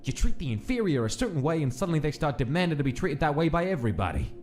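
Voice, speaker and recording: A man's voice: on the high side, big pitch swings, very fast. An actor playing a cocky, bitter piano man in a gangster comic dub, ranting with contempt. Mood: enraged, disgusted. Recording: music under the voice.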